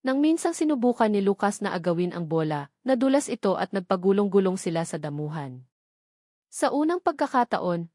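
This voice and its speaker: Casting: female Filipino-accented storyteller, mid-pitched, scripted dramatic romance narration, dry studio-like sound. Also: a little mellow, no echo.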